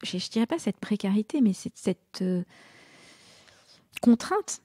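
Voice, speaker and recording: Podcast host, woman, husky and mellow, casual questioning on a dry mic. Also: medium pitch, lively intonation, unhurried, no echo. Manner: friendly, informal questioning with hesitations. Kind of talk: casual conversational interview.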